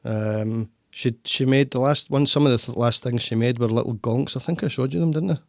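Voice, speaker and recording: A man's voice: mid-range voice, a little fast, creaky, darkish tone, no echo. A Scottish man hosting a casual podcast chat from his studio, rambling with frequent restarts. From Scotland. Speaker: podcast host. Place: home studio.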